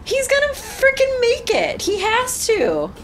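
Very animated woman at a game table. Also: extremely high pitch, unhurried, a loud crowd. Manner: animated, expressive. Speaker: player in a tabletop roleplaying game. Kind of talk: casual, joking table talk. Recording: noisy background with ambience.

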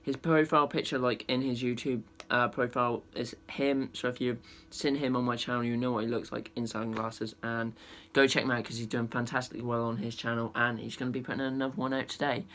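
A young man with a croaky voice giving rapid, rambling shout-outs to his viewers from a small bedroom, speaking into a laptop webcam. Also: mid-range voice. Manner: rapid, rambling delivery with many fillers. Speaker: channel host and amateur singer.

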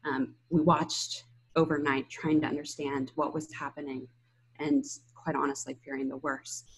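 A woman's voice: low-pitched, flat delivery, normal speed, rough recording. A woman in her thirties moderating an online public briefing, speaking from an indoor room on a video call. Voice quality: husky, creaky.

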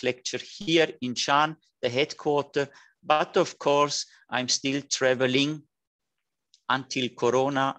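A man in his fifties with a Liechtenstein German accent gives a friendly online lecture, his phrasing a little halting. His voice sits in a middle pitch and comes through dry and close over a video call. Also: a bit bright, dry recording. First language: German (Liechtenstein dialect). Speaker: dental technician educator.

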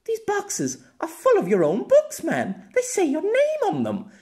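A man's voice: extremely high pitch, wildly swooping pitch, a little slow, warm tone, pitched above the performer's own voice, exaggerated voice. A man voicing an imperious, theatrical young woman in an audiobook, speaking in a formal, pompous manner. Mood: indignant. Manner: formal, pompous, overly dramatic. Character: youthful silver-haired woman, imperious, theatrical, childishly gleeful. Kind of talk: amateur audiobook character acting.

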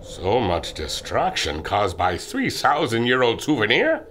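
An actor playing an excitable, protective inventor in an audio drama. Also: male voice, medium pitch, unhurried, noisy background. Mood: regretful, gruff. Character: excitable German inventor, protective of his robot. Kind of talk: radio adventure drama acting.

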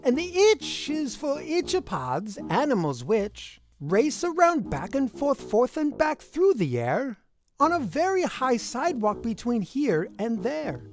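Woman, high-pitched, very lively intonation, children's read-aloud, sing-song rhyming verse, expressive storytelling. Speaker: host reading a picture book aloud to children.

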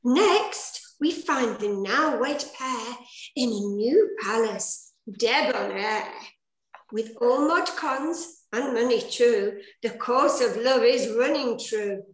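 A woman's voice: on the high side, takes it slowly. An older woman narrating a pantomime in theatrical, sing-song rhyme on a video call. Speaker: director and rhyming fairy narrator. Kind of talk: scripted rhyming pantomime narration. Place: plain room indoors, video call. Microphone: computer mic on video call. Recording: echoey room on video call.

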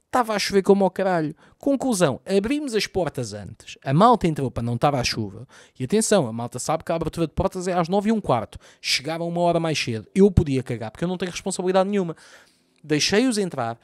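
A Portuguese man with a Lisbon accent rants through a solo podcast, swearing freely and throwing his arms around as he talks. His voice sits a bit high for a man and comes across close and dry, recorded on a boom mic right at his mouth in a small home room. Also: quick pace, dry recording. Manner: profane, ranting, animated with big arm gestures. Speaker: comedian podcast host. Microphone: boom mic close to mouth, wearing headphones.

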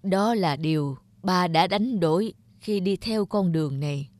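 An actor playing an earnest, remorseful older father who speaks in a slow, measured, solemn way in an animated drama. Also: high-pitched, no room sound. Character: grey-bearded old father, veteran animator, earnest and remorseful. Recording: background music under the voice.

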